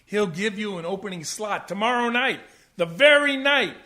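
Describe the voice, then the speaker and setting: A man's voice: sits high, animated, normal speed, bright, forceful tone. An actor playing an eager, blustering jazz musician father who talks in hip slang in a comic radio play. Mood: excited, boastful. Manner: hip jazz slang, stammers when flustered.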